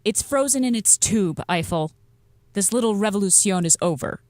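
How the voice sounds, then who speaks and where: An actress playing a stern, dryly smug space station commander in an audio drama, authoritative and deadpan. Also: female voice, medium pitch, normal speed, no room sound, studio quality, natural voice. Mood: stern, triumphant. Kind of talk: modern radio drama acting.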